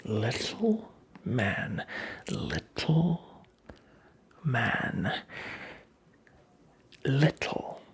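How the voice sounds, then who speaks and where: A performer voicing a creepy, taunting fairy-tale imp in a whispered ASMR roleplay, with breathy, gravelly, theatrical, mockingly courteous delivery. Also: medium pitch, sing-song, very slow, pauses often, heavily acted. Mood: mocking, indignant.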